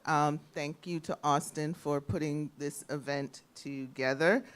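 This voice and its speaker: A woman's voice: low-pitched, fairly even intonation, unhurried. A woman from the US Virgin Islands and New York, a radio host talking informally and with good humour on a panel. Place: live panel event with an audience.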